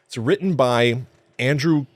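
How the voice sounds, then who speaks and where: A man's voice: mid-range voice, a little slow, no room sound. A man co-hosting a podcast, discussing film casually and emphatically, often repeating himself. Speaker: podcast co-host.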